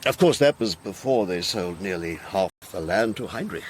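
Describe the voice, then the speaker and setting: Probing man with animated intonation. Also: sits low, moderate pace, slight background noise. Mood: sombre, explanatory. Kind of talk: naturalistic radio drama acting.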